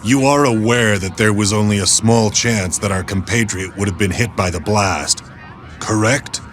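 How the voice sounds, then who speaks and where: An actor playing a stern male robot military commander in an animated dub, speaking formally and with measured authority. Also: fairly low pitch, lightly acted. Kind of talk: English-dubbed animation acting. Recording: music behind the voice.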